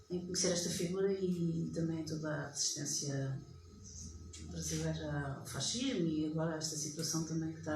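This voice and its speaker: Portuguese woman, European accent, flat even delivery, echoey room, faint hiss. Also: on the low side. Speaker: audience member. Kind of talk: public comment at a debate.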